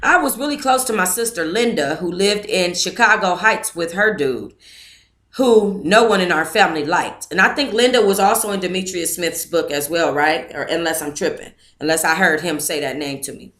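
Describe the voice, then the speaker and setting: A woman's voice: on the low side, normal speed, fairly bright tone. A woman hosting a book club reads a memoir aloud in the first person and stops now and then to thank listeners and comment. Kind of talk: reading a memoir aloud with asides.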